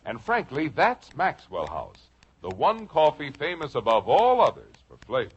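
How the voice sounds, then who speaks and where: A man's voice: a little high, wildly swooping pitch, moderate pace, breathy edge. A man with an American accent giving a polished, persuasive 1950s radio commercial read. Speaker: commercial announcer. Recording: muffled old radio, faint noise.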